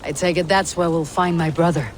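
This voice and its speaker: An actor playing a bold, level-headed Viking warrior in a game, with stately, poetic delivery. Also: male voice, a little high, fairly even intonation, fairly quick, close to an everyday voice. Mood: cool, probing.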